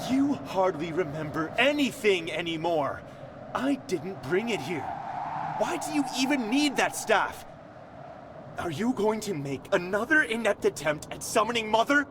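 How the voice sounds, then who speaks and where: An actor playing a sharp-tongued village man in a fantasy game, pointed and expressive. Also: high-pitched, a little slow, noisy background. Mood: scornful. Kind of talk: fantasy video game voice acting. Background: music.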